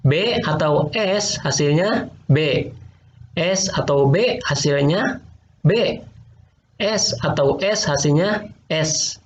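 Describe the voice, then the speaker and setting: Male teacher, patient, slightly high voice, echoey room. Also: expressive, slow pace, pauses often. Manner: patient, repeats points, asks quiz questions. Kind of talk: online logic lesson. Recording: roomy, dull lo-fi online class audio with faint noise.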